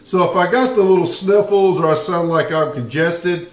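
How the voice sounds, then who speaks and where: Man, Southern American accent, easygoing cooking host, fairly high-pitched, roomy echoey sound. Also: normal speed. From Southern United States. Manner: folksy, easygoing, talks straight to viewers. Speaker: barbecue channel host. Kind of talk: casual cooking tutorial. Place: home kitchen, then outdoor grill area.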